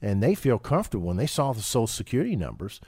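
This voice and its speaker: A man's voice: fairly low pitch, sing-song, quick pace, dark tone, breathy edge, no room sound, studio quality. A man hosting a financial radio show, talking casually and often restarting his sentences with repeated words.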